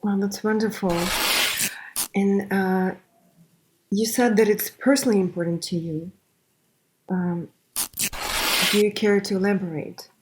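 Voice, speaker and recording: Noisy recording, clicks and rustling, woman host, mid-pitched, casual interview style. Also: fairly even intonation, a little slow, pauses often.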